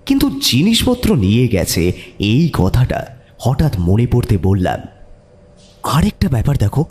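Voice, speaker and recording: A man playing a detective's curious, eager companion who also narrates the audio mystery, with a husky voice. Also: mid-range voice, a little mellow. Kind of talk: audio story narration and dramatic dialogue. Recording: music behind the voice.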